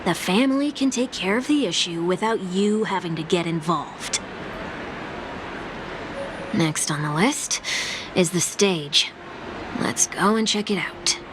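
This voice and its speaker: A woman's voice: fairly low pitch, measured pace, pauses often, noisy background, lightly acted. An actress playing a brash, sardonic young woman in a fantasy video game, with a dry, teasing, casual delivery. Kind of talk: scripted video game voice acting.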